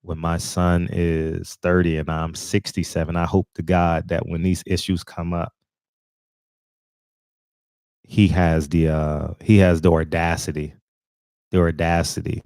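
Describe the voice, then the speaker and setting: Deep-voiced man rambling in a dry room. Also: little pitch movement, moderate pace, darkish tone, clean tone, no echo. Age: 40s. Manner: rambling, with many fillers and restarts. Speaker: channel host. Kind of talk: casual commentary monologue.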